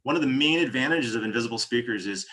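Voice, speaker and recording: A man's voice: medium pitch, animated, quick pace, fairly bright tone. An American man from New Jersey speaking as a company executive in an interview, explaining products conversationally and often restarting his phrases. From New Jersey, United States.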